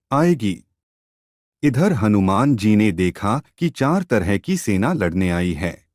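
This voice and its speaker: A man's voice: lowish voice, darkish tone, dry recording, clean studio sound. A man telling a devotional mythological story as a storyteller.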